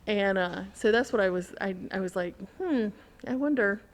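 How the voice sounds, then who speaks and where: A woman's voice: mid-range voice, expressive, moderate pace. A woman telling a story in casual conversation as a podcast guest, animatedly acting out what people said.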